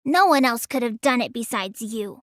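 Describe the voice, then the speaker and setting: A woman's voice: on the high side, expressive, normal speed, dry recording, studio quality, lightly acted. A woman voicing a petite, stubborn teenage girl magician in an English anime game, with a languid, childlike, slightly pouty delivery. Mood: accusing.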